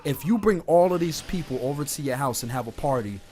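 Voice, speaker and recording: A man with a slightly hoarse voice from being sick, talking casually and directly to his listeners as an online host giving rambling advice. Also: a little high, animated, fairly quick. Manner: informal, conversational, addresses listeners directly. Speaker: host of an online talk series. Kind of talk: casual rambling advice chat over gameplay. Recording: clear background noise, game sounds. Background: video game playing in the background.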